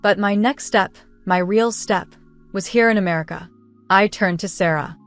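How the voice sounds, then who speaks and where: A woman reading a scripted first-person story in a measured, earnest, literary storytelling manner. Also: mid-range voice, soft background music. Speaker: narrator.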